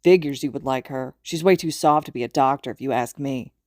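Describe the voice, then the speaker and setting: A woman's voice: rumbling low, brisk, darkish tone, no echo, clean studio sound. A woman voicing a brash, hot-tempered teenage boy in an audiobook, growling and scornful. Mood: irritated.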